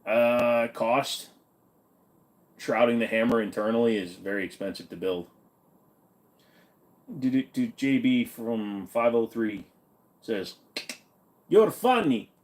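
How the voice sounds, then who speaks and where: A man's voice: medium pitch, takes it slowly, long pauses. A man hosting a casual livestream Q&A, answering viewer questions in an offhand, blunt, joking way with some swearing.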